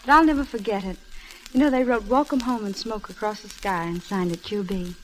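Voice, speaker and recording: A woman's voice: mid-range voice, a little fast, slight background noise, natural voice, low-quality recording. A young American woman playing a spirited stewardess who is starry-eyed about flying, in a 1930s Hollywood film. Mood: wistful, eager. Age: young adult. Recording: vintage 1930s film soundtrack with music.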